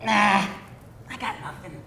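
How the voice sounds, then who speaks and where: A woman's voice: medium pitch, lively intonation, a little slow, bright, forceful tone, slight background noise. A woman playing a hapless, nervous vampire hunter who stammers and hesitates sheepishly, in a live screenplay table read. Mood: flustered. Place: indoor live reading before an audience. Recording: roomy live venue.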